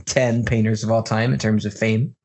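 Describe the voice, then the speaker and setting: A man with an American accent hosting a casual, jokey podcast, telling news stories in an informal, animated way. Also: fairly low pitch, normal speed. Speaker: podcast host. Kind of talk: casual comedic news banter.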